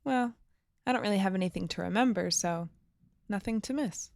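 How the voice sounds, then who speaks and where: A woman's voice: mid-range voice, brisk, creaky, darkish tone, no echo, clean studio sound, lightly acted. A woman playing a wistful, uneasy young woman who lives off-grid, speaking tentatively and thoughtfully in an audio drama. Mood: gentle, casual. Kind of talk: naturalistic audio drama dialogue.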